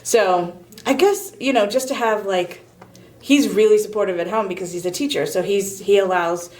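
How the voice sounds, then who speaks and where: A woman's voice: mid-range voice, normal speed, darkish tone. A middle-aged woman answering interview questions conversationally as a real estate agent, candid and self-deprecating.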